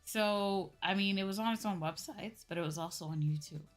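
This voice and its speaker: A woman's voice: medium pitch, moderate pace. A young adult woman streamer chatting casually in a conversational way, often checking in with the listener. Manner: conversational, often checks with "right?" and "you know". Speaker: VTuber streamer. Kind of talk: casual livestream chat. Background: background music.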